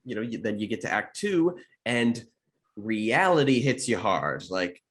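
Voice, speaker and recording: A man talking casually on a panel as a producer and voice actor, in a halting way with repeated restarts. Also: fairly low pitch, sing-song, a bit bright, breathy edge. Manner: halting, with repeated false starts. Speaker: producer and voice actor on an audio drama. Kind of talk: casual retrospective panel chat.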